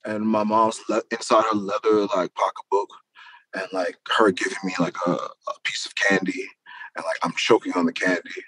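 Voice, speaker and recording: A man's voice: low-pitched, flat delivery, normal speed, fairly bright tone, slightly rough, rough recording. A man chatting casually as an interview guest, loose, slangy and freely swearing. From Queens, New York, United States. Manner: slangy, profane, loose and conversational. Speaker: interview guest, rap musician.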